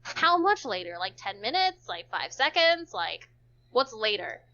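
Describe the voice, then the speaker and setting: A woman's voice: mid-range voice, sing-song, a little slow, sharp tone, dull audio. A woman reading visual novel text aloud for a stream, stumbling now and then and dropping in casual joking asides.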